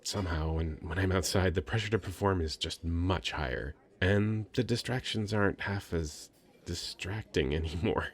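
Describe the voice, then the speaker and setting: Man playing a bashful young male nerd, deep soft voice, halting stutters, sweet and earnest, intimate ASMR roleplay. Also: slightly rough. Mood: warming up, chatty. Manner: hesitant, stammering, soft-spoken, earnest. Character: very shy, sweet young nerdy male writer. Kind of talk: ASMR roleplay, addressing the listener.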